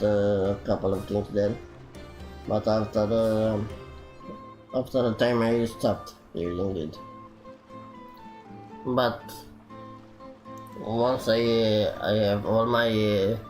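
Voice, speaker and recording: A man livestreaming a game with casual, rambling chat in halting phrases. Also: lowish voice, fairly even intonation, darkish tone, faint music behind, low-quality recording. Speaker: game streamer.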